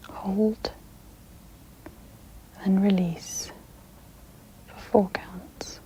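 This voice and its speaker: Woman, breathy ASMR whisper, mellow and gentle, guided meditation, long pauses, faint noise behind. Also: medium pitch, low-quality recording. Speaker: ASMR host guiding a sleep meditation.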